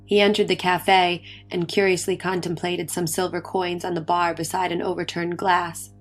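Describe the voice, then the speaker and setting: A woman giving a dramatic, scripted reading of an old science fiction story as narrator. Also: fairly low pitch. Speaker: story reader. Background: faint music.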